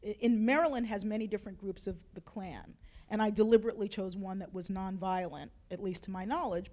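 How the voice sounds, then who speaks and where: A woman's voice: a little high, darkish tone. A woman moderating a panel discussion, talking chattily with lots of "you know" and "like". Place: lecture hall event.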